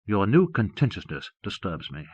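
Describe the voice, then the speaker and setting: A man voicing an imperious, coldly possessive disembodied mastermind in an audiobook, with slow, emphatic, formal commands. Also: on the low side, huge pitch swings, slightly rough, no room sound, full character voice. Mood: stern, menacing. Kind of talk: audiobook character dialogue. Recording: dull lo-fi cassette audiobook.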